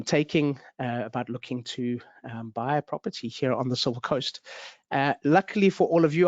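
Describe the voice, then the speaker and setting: A man's voice: mid-range voice, no room sound, lo-fi sound. A man, hosting an online webinar in a friendly, rambling way with many fillers and restarts. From South Africa. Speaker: webinar host, realtor.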